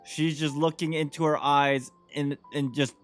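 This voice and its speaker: A man's voice: on the high side, little pitch movement, a little slow, over music. Man muttering casual game commentary. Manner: muttered offhand reactions, slangy. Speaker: game streamer.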